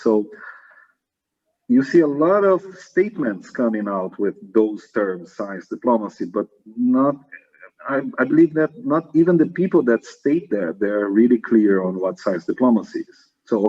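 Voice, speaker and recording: A middle-aged Brazilian man who speaks Portuguese natively, talking as a guest scientist in a friendly, self-deprecating way with many pauses. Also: on the low side, darkish tone, slightly rough, dull audio. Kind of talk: relaxed conversational interview. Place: home room in Sweden.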